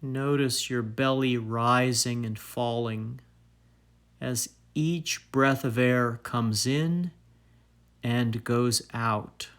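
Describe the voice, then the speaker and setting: A man leads a guided meditation at an extremely slow pace. His pitch sits in an average male range. He speaks calmly and soothingly, placing each phrase deliberately and leaving long pauses between them. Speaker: meditation guide and fitness trainer.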